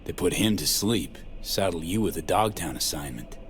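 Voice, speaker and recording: A man's voice: deep voice, animated, moderate pace, slight background noise. A man playing a guarded, street-smart mercenary in a video game, terse and blunt, with casual slangy speech. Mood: wary, probing. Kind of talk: cinematic game acting, casual slangy dialogue.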